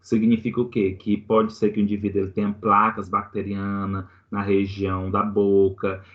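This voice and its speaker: A man's voice: fairly low pitch, monotone, normal speed, narrow, tinny audio. A man giving a live online lecture on treating colds and flu, explaining step by step and checking in with the class. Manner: explanatory, many restarts and "tá?" checks. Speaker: pharmacy lecturer.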